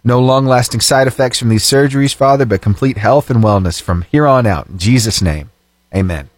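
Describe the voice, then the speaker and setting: A man's voice: mid-range voice, a little fast, dry recording. Man, radio host, studio mic, slightly itchy throat, upbeat chatty talk. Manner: animated, fervent praying then chatty and upbeat. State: slightly itchy throat, voice lower than usual. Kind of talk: prayer and casual radio chat. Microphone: studio microphone.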